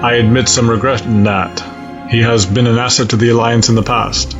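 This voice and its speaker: A man playing a cold, resolute alien space-fleet admiral in a sci-fi audio drama, speaking in formal, measured, stiff diction. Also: mid-range voice, fairly even intonation, dull audio, music in the background, natural voice. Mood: grave, resolute.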